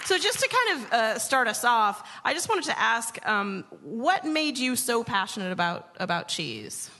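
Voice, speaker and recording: A woman hosting a conversational live interview, asking questions in a tentative, halting way. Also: on the high side, very animated, bright, forceful tone, a faint crowd. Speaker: radio show host interviewing. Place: large hall, live radio show. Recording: reverberant live hall.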